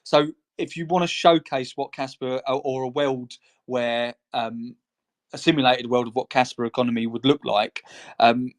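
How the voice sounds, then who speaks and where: A man's voice: medium pitch, fairly even intonation, moderate pace. A man co-hosting a podcast in casual chat, talking in a rambling, chatty way and laughing between phrases. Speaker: co-host.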